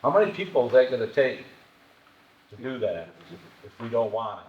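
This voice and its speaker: A man at a public meeting asking a halting question. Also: mid-range voice, very animated, a little hiss or hum. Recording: dull echoey hall.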